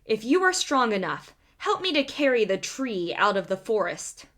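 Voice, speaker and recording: A woman's voice: on the high side, sing-song, normal speed, a bit bright. A woman voicing a boastful, easily fooled male giant in a storybook reading. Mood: challenging. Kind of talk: storybook character voice.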